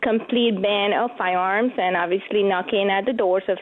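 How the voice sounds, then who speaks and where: A woman's voice: mid-range voice, fairly even intonation, normal speed. A woman from Venezuela with a Venezuelan Spanish accent, a radio interview guest talking earnestly and often saying "you know". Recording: phone-line guest audio.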